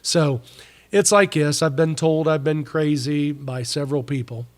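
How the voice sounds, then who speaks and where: A man's voice: fairly high pitch, steady tone, measured pace. Congested man chatting, rambling and folksy. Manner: rambling, self-interrupting, folksy slang. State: congested from recent illness. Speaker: podcast co-host. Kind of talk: casual chat.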